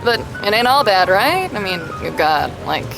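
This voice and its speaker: A woman's voice: medium pitch, big pitch swings, moderate pace, vocal fry, music in the background, rough recording. A woman playing a brash, foul-mouthed, teasing woman with a playful, slangy manner in an audio drama.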